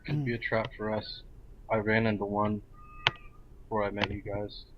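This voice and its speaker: Monotone man on a noisy, dull mic. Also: on the low side, moderate pace. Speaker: role-play game player. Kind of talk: casual game chat.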